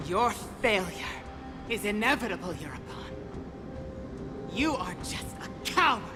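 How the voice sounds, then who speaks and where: A woman playing a fierce, defiant warrior queen and grieving mother, speaking in a theatrical, commanding, archaic way for a fantasy game. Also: fairly high pitch. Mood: contemptuous. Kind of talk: dramatic fantasy game voice acting. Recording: long hall reverb, music underneath.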